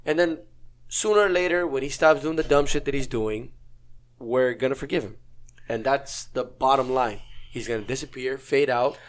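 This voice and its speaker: Hyped, argumentative man going hoarse. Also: medium pitch, normal speed. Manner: argumentative, builds to hyped outbursts. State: losing his voice. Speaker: podcast co-host. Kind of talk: casual opinionated debate.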